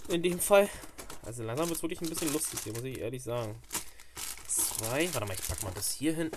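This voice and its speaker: A man's voice: medium pitch, expressive, a little fast, noisy background. Chatty, rambling man. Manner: rambling, chatty, thinking aloud. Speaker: fishing channel host. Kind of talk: casual unboxing vlog. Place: indoors at a table, off camera.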